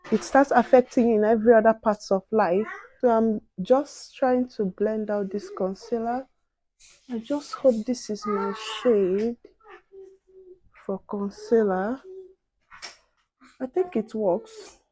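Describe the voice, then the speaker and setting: Young woman chatting while doing makeup, soft voice, mellow and dry sounding. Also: a little high, takes it slowly, long pauses, dry recording, low-quality recording. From outside the UK. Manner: soft-spoken, reflective, chatty asides to viewers. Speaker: beauty and advice vlogger.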